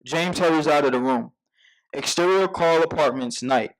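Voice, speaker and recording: A man's voice: fairly high pitch, moderate pace, poor audio. A man reading out scene headings and action as narrator at a scripted table read.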